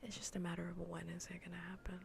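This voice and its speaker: A woman speaks in a soft, breathy whisper with a lowish pitch and some crackly fry. She chats casually and bluntly as a gaming streamer, teasing in an ASMR style. The mic is close, with faint noise behind her. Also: level tone, racing. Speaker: livestreamer playing a game. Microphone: close ASMR microphone.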